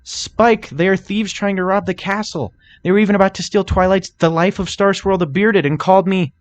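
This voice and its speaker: Female unicorn, acted by a man, raised pitch, cheerfully threatening protector, pony story narration. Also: steady tone, moderate pace, close to an everyday voice. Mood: accusing. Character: menacing, playful female unicorn, proud protector of her friend.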